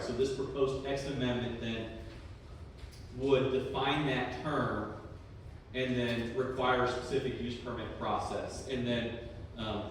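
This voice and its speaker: A Texan man presents to a public meeting as city planning director, easygoing and conversational, often pausing on "um". His voice sits at an ordinary middle pitch and comes across from a distant mic. A long reverb rolls through the big chamber, with a faint hiss underneath. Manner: conversational, plain-spoken with frequent ums. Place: large echoey council chamber. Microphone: room microphone heard from a distance. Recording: echoey hall from far off.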